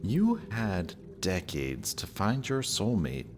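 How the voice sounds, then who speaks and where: Man playing very deep-voiced, teasing young death god. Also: huge pitch swings, takes it slowly, noisy background, full character voice. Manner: casual, teasing, speaks directly to listener. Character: young death god's son, teasing, smug, lovestruck. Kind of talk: scripted romantic audio roleplay.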